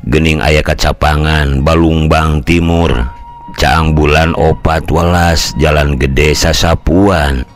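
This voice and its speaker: A man's voice: a bass voice, fairly even intonation, faint music behind, pitched below the performer's own voice. A man voicing a pious old village uncle who explains things patiently and reflectively in a Sundanese storytelling recording. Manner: slow, sage-like explaining with proverbs.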